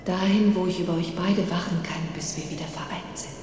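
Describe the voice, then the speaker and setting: A woman playing the gentle, angelic ghost of a loving mother in an audio drama, tender, reassuring and solemn. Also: lowish voice, steady tone, a little fast, close to an everyday voice. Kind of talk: film audio drama acting. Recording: echoey hall, distant, faint noise.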